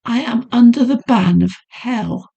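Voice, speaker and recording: A woman's voice: fairly high pitch, unhurried, creaky, mellow, breathy edge, lo-fi sound, pitched above the performer's own voice, full character voice. A woman voicing a haunted young noblewoman who pleads breathlessly and trembles with fear. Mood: fearful sorrow. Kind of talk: audiobook character voice.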